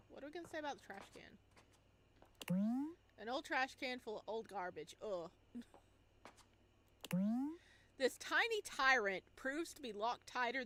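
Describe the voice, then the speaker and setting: Female game streamer, higher register, highly animated delivery, playful read-alouds and exclamations, noisy recording. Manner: expressive, exclaiming, singsong asides. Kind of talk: playful gameplay commentary mixed with reading on-screen text aloud.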